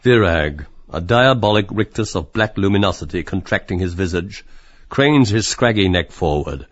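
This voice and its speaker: Casting: male narrator, low voice, reading scripted stage directions, slightly muffled lo-fi recording. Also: animated, moderate pace.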